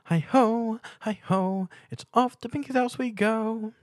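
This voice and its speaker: A man voicing an excitable, playful young female cartoon pony in a dramatic fan fiction reading. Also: sits high, unhurried, dry recording, clean studio sound, pitched above the performer's own voice. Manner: excitable, gushing, teasing.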